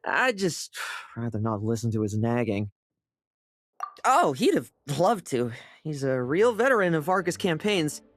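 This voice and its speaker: A woman's voice: deep voice, full character voice. A woman voicing a sly, breezy young knight in an anime game, with a glib, teasing manner. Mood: slyly casual.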